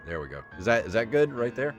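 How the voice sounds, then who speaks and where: A man's voice: medium pitch, very animated. A tired-sounding man hosting a podcast, chatting casually and comedically, sometimes reading out theatrically. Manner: theatrical, drawn-out dramatic reading of stories. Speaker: podcast host. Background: background music.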